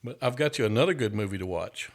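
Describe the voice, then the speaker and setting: A man's voice: on the low side, animated, fast. A man from the United States hosting a casual chat show, easygoing and rambling, saying "y'all". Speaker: host.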